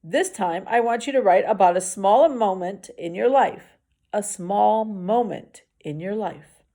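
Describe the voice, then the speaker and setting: A woman's voice: mid-range voice, measured pace, warm tone. A woman voicing an encouraging female schoolteacher who gives emphatic instructions in a children's novel reading. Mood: instructive.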